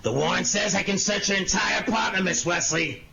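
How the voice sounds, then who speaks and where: A man playing a suspicious, sardonic police inspector in a 1940s-style radio detective drama, gruff and accusing. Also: sits high, fairly quick, pushed and bright, raised voice, soft background music, full character voice. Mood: suspicious, searching. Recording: dull, noisy lo-fi audio with clicks.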